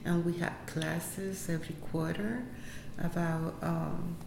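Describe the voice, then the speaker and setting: Low-voiced Puerto Rican woman, halting speech. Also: fairly even intonation, vocal fry. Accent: Puerto Rican Spanish. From Puerto Rico. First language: Spanish. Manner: halting, many fillers and restarts, laughs often. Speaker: interviewee, health center employee. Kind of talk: casual interview. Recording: echoey room, faint background noise.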